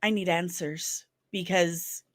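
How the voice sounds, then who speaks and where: A woman's voice: fairly low pitch, steady tone, slow, dry recording, clean studio sound. A woman from St. Louis with a Midwestern accent, hosting a casual pop-culture commentary show, laughing and sarcastic as she reacts to clips. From St. Louis, Missouri. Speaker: host of a daily pop-culture news show.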